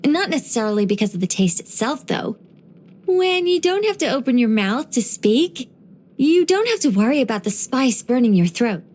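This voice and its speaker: A woman voicing an ancient angel in a video game, dignified yet whimsical and chatty, with a measured, gently playful delivery. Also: fairly high pitch, sing-song. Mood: chatty, proud. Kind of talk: video game character voice lines.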